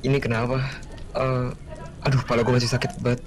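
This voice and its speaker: A man's voice: medium pitch, monotone, quick pace, background noise, close to an everyday voice. A man playing a confused, anxious wounded hero in a fantasy game roleplay.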